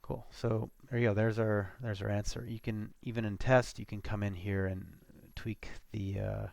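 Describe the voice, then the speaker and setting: A man presenting a software demo as an informal screencast walkthrough, talking casually and haltingly as he thinks aloud. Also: lowish voice, little pitch movement, vocal fry, dry recording.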